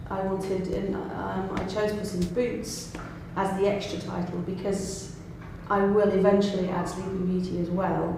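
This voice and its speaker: A middle-aged British woman with a fairly low voice thinks aloud about her work, restarting often and asking herself questions. The recording is echoey, with faint noise behind her. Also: dark tone. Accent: British English. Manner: reflective, with restarts and self-questioning. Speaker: panto writer and director. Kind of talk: interview chat.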